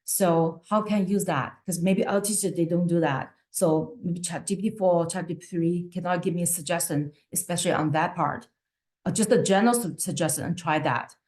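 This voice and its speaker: A woman with a somewhat low voice presents to fellow teachers over a video call. English is not her first language, and she talks in a loose, conversational way with plenty of fillers. She keeps checking in with her listeners as she goes. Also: fairly quick, a little mellow. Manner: conversational, frequent "right?" and fillers. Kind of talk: webinar presentation.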